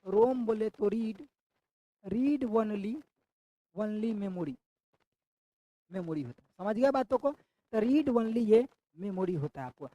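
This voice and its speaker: A man's voice: high-pitched, dark tone, no echo, low-quality recording. A man with a sore throat teaches an online exam-prep class, repeating each question back to his students.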